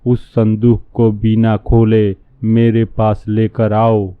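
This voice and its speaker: Cartoon villain, male actor: scheming bossy fake holy man, lowish voice, narrow muffled recording. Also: completely flat delivery, smooth, dry recording. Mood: bossy, scolding. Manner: commanding, slow, scolding. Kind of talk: Hindi cartoon storybook acting. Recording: muffled, phone-like narrow-band sound.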